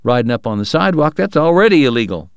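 A man's voice: medium pitch, big pitch swings, quick pace, gravelly, clean tone, no echo, studio quality. A man voicing an argumentative, practical frontier town official.

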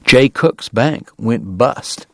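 A man's voice: mid-range voice, animated, slow pace, gravelly, sharp tone, dry recording, exaggerated voice. A man voicing a brusque, practical Southern dentist, plain-spoken, in an audiobook. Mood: hearty. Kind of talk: audiobook character voice.

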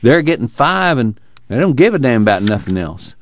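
A man's voice: medium pitch, big pitch swings, normal speed, no room sound, muffled recording, voice pushed up, close to an everyday voice. A man voicing a blunt, skeptical young farm laborer in an audiobook. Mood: blunt, resigned. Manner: drawling, ungrammatical rural speech.

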